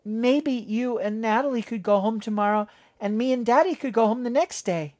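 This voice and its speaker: A man's voice: very high-pitched, moderate pace, darkish tone, voice pushed up. A male narrator voicing a young girl who pleads and argues in a whiny way. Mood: pleading.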